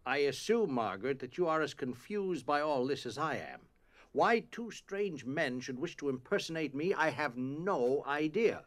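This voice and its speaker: Casting: male actor as pompous, formal, easily irritated schoolmaster, slightly high voice with very animated pitch, audio drama. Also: normal speed, natural voice. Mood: indignant.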